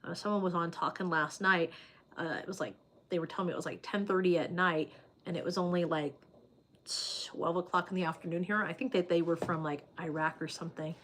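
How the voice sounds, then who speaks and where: A woman's voice: on the low side, fairly even intonation, fairly quick, fairly bright tone, a little hiss or hum. A woman hosting a casual livestream, chatting loosely with viewers and reading their comments, with plenty of "like" and "um" fillers.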